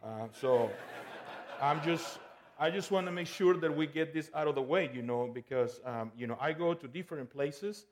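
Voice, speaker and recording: A man's voice: a little high, normal speed. A man from El Salvador, a guest preacher giving a playful, conversational sermon with frequent restarts and "okay?" checks.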